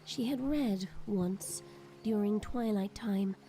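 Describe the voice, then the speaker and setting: A woman's voice: mid-range voice, takes it slowly, mellow. A woman reading scripted narration for an audio drama. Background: faint background music.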